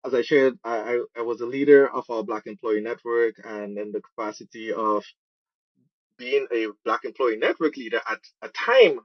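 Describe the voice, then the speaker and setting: Podcast guest: Trinidadian man, mid-pitched voice, conversational answers, dry but slightly dull recording. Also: normal speed, dry recording. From Trinidad and Tobago. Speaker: podcast guest, program manager and musician.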